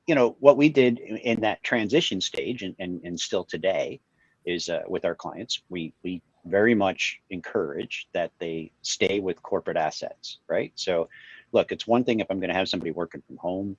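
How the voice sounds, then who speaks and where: A man's voice: low voice, slightly rough, no echo. A man who runs an IT company, explaining at length in a casual panel discussion over a video call. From Virginia, USA. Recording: dull lo-fi online call audio.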